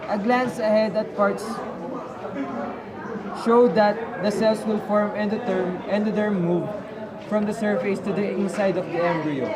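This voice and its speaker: High-pitched man reading formally over background chatter. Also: steady tone, unhurried. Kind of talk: reading scripted biology explanation.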